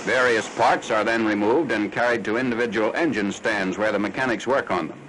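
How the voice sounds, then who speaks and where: An American man reading scripted 1940s military newsreel narration in a formal, factual, measured announcer style. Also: on the low side. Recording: noisy 1940s newsreel film soundtrack.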